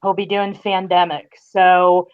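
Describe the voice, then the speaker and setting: A woman's voice: on the low side, little pitch movement, a little slow, smooth. A woman speaking as a podcast guest, giving news in a casual, chatty way. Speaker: podcast guest, fan-site writer. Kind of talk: casual informative chat. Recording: slightly dull online-call audio.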